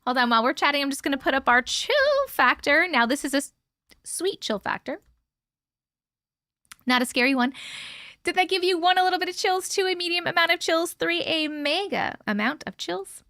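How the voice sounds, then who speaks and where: A woman's voice: high voice, a little fast, pushed and bright, no echo, studio quality. A woman hosting a live stream from home, chatting effusively with viewers and reading their stories aloud. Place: at home with her cat.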